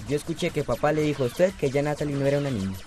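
Guy acting young costa rican son, talks fast, matter-of-fact, music behind. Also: on the high side, a little mellow, natural voice. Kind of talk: radio soap opera acting.

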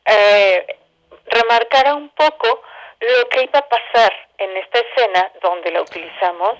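Mexican lady, kinda high voice, telling stuff casually like a guest calling in, dull phone audio. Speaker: interview guest, theatre director. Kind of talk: casual explanatory storytelling. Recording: dull telephone-line sound.

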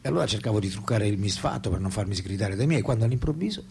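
A man's voice: fairly low pitch, animated, very fast, creaky, a little mellow, husky, full character voice. An actor in a radio play voicing a French philosopher and writer, ironic, reflective and self-deprecating, telling his thoughts theatrically. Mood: wry, animated. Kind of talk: radio play dialogue and monologue.

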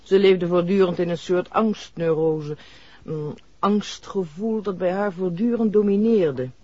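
A woman playing a reserved, mysterious doctor in a 1960s Dutch radio play, speaking formally and deliberately with some hesitation. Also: lowish voice, dark tone, dry recording. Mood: guarded, reflective. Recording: dull, dry vintage radio recording.

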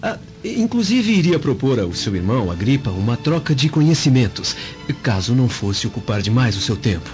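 An actor playing a humble young Judean slave in a radio drama, speaking with tactful, measured deference. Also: male voice, mid-range voice, very animated, darkish tone, natural voice. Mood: deferential. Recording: muffled lo-fi, music underneath.

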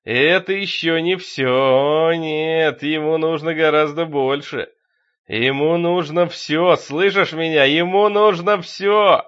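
A man voicing a crazed, menacing sexton, a fanatical zealot who taunts loudly with drawn-out words in a horror audiobook. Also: fairly high pitch, muffled recording. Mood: fanatical, gloating.

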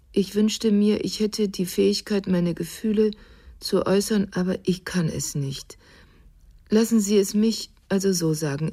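An actress playing a composed, grave woman reading in a measured, restrained way in a radio drama. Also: mid-range voice, dark tone.

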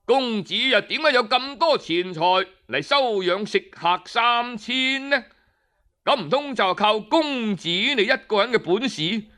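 A stern Cantonese adviser from a storybook, acted by a male narrator. He reasons slowly in a high, bright voice, pressing each point with measured rhetorical questions. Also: expressive, heavily acted. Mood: stern, persuasive. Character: reasoning, stern commoner adviser lecturing a prince.

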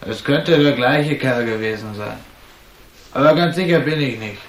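An actor playing a big professional boxer in a German radio drama, giving short, careful, guarded answers. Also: male voice, medium pitch, normal speed, natural voice. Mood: guarded, matter-of-fact. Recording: echoey room.

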